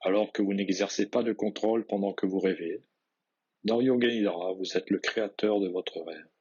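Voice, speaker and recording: A man leads a guided relaxation meditation in a fairly low, husky voice. He gives measured, simple instructions and lets his phrases fall away softly. The recording sounds slightly dull and lo-fi. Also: fairly even intonation. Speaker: yoga nidra guide.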